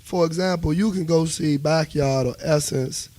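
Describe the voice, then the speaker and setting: A man's voice: a little high, normal speed, no echo. A man with a Washington, DC accent talking casually and emphatically as a musician guest in a radio interview, often checking that he is understood. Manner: emphatic, animated storytelling with repeated check-ins.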